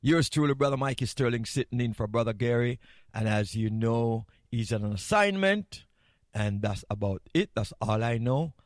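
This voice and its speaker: A man's voice: medium pitch, animated, normal speed, no echo, studio quality. A man with a Jamaican accent hosting a morning radio show, chatting casually in a playful, candid way and repeating phrases for emphasis. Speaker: radio host.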